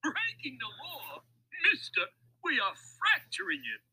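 Man playing brash, high-pitched comic hijacker. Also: very animated, measured pace, gravelly, pushed and bright, heavily acted, rough recording. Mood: cocky. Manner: brash, emphatic, wisecracking. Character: cocky male truck hijacker, a comic small-time crook.

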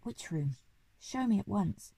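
A woman voicing an excitable old aristocratic Duke in a storybook, with pompous, old-fashioned exclamations. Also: medium pitch, a little slow, creaky, slightly rough, dry recording, full character voice. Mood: alarmed, commanding.

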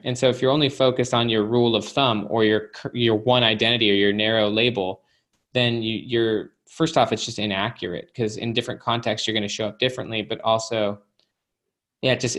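A man's voice: fairly low pitch, fairly even intonation, fairly quick, fairly bright tone. A man appearing as an author guest, explaining ideas in casual conversation, often checking in with "right?". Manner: explanatory, uses fillers like "you know" and "right?".

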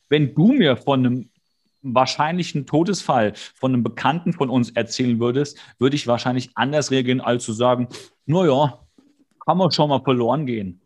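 A man co-hosting a podcast, giving a casual, jokey recap with wry asides and bits of mimicry. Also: mid-range voice. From Hesse, Germany. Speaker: podcast co-host. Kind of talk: casual comedic episode recap.

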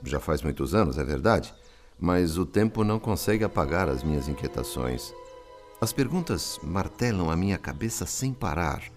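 An actor with a Brazilian accent playing a compassionate, respectful male spirit and worried father, in gentle, formal radio-drama style. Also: low voice, lively intonation, moderate pace, faint music behind. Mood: troubled, confiding. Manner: gentle, formal, earnest, considerate.

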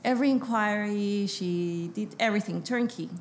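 A middle-aged woman, talking through her story on a podcast in an upbeat, matter-of-fact way. Her pitch sits in a middle range for a woman, and faint noise hangs behind her. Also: moderate pace. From Peru. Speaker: guest, business co-founder. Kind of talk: casual podcast interview.

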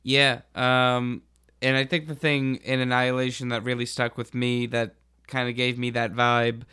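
A man's voice: mid-range voice, monotone, normal speed, sharp tone, clear, resonant tone, no echo, clean studio sound. A man on a podcast panel talking casually about films in loose, informal phrasing. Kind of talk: casual film discussion.

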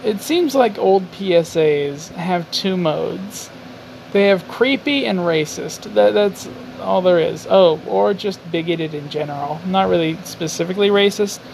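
A young man talking slowly in a high, gravelly voice as he hosts his own podcast. He rambles, jokes at his own expense and swears freely. Faint highway traffic hums behind him in his garage. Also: a little mellow, rough recording. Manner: rambling, self-mocking, swears often. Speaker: podcast host. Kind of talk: casual solo podcast monologue. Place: home garage.

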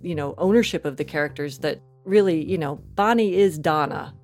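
A woman's voice: deep voice, fairly quick, darkish tone, faint music behind. A woman chatting casually and emphatically as an interview guest about her creative work. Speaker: interview guest, audio fiction writer and producer.

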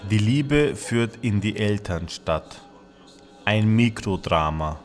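A man giving a measured, declamatory performed reading of literary texts, in a spoken-word style. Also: on the low side, animated. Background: music.

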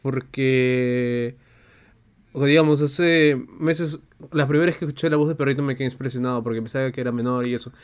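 A man's voice: mid-range voice, steady tone, fairly quick, clear, resonant tone. A man from Peru with a mixed Peruvian-Chilean Spanish accent, chatting casually and laid-back with friends. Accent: mixed Peruvian Spanish with Chilean touches. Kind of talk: casual chat.

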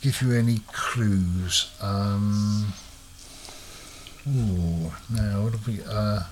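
A man's voice: lowish voice, very slow, slight background noise. Chatty man quizzing a friend. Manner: chatty, quizzing with hesitant fillers. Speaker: host. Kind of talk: casual chat.

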